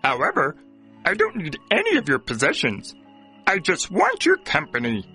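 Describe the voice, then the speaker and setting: Cartoon frog, small and pushy, bright raspy voice, hugely swinging pitch, exaggerated. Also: male voice, wildly swooping pitch, moderate pace, faint music behind, heavily acted. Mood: coaxing. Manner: exaggerated, swooping delivery. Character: small talking male frog, pleading and pushy. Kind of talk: children's cartoon fairy tale acting.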